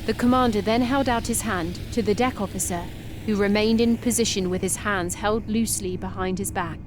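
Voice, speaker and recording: A woman reading a science-fiction story aloud in a steady, descriptive way. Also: mid-range voice, a little fast, noisy background. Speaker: narrator. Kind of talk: scripted story narration.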